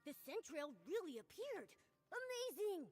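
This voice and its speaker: A tiny fantasy game sidekick creature, excitable and extremely squeaky. The pitch swoops wildly while the words come out drawn and slow. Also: female voice, faint music behind, full character voice. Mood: excited. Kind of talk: scripted video game voice acting.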